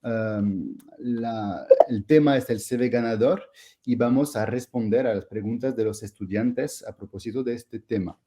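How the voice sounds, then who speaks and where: A man's voice: medium pitch, a little slow, dark tone. A man from France with a French accent, hosting an online masterclass in a hesitant way, with many fillers and restarts. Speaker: host.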